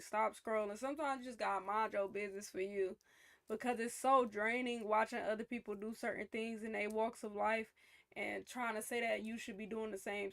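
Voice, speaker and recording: A woman's voice: fairly high pitch, creaky. A young woman streamer reacting to a video, talking in a reflective, chatty way about life and faith. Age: young adult. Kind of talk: casual reaction commentary.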